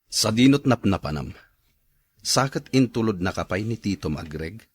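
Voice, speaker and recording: A man's voice: fairly low pitch, lively intonation, moderate pace, slightly rough, dry recording. A man with an Ilocano accent playing a stern, resentful son and father in a radio drama. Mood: stern, questioning.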